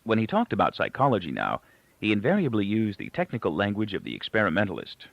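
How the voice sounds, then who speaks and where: A man's voice: fairly low pitch, huge pitch swings, quick pace, vocal fry, no room sound. A man reading literary fiction aloud as a scripted narration. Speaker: audiobook reader. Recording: dull, lo-fi, close dry recording.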